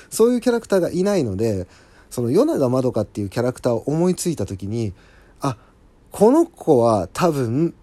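A man hosting a casual solo talk show, speaking in an earnest, enthusiastic, conversational way with emphatic pauses. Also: medium pitch, mellow, no room sound. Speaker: solo audio show host and amateur scriptwriter. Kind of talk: casual solo talk about own creative project.

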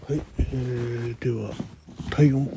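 A man hosting a casual solo morning radio show, chatting in a halting way, thinking aloud and murmuring to himself, with a husky, gravelly voice. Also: medium pitch, fairly even intonation, background noise. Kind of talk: casual solo chat with health log and listener letters.

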